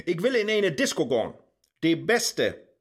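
An actor playing an impatient, excitable Faust in a comic rhymed radio play, brash and theatrical, with a Low German accent. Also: measured pace, breathy edge. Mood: impatient, bossy. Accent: Low German, northern Germany. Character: impatient, bossy Faust, excitable seeker of thrills. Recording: loud music behind the voice.

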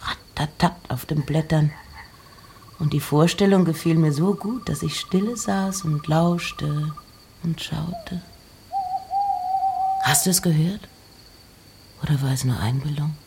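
An actress playing an intense, eccentric nineteenth-century woman poet, reciting in a literary radio play with deliberate, theatrical phrasing and pauses. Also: deep voice, warm tone, a little hiss or hum. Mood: tender, musing. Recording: music behind the voice.

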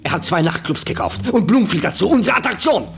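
An actor playing a chummy, boastful local gangster chief in a radio crime drama, talking on in jovial bluster. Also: male voice, sits high, sing-song, fast, vocal fry, over music, exaggerated voice. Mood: pleading. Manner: talkative, jovial bluster with rhetorical questions.

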